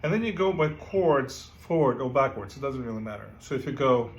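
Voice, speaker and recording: A man's voice: medium pitch, lively intonation, brisk, muffled recording. A man from Ukraine, teaching a casual guitar lesson and often checking in with "right?" between filler words. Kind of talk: casual music lesson with playing. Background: guitar playing.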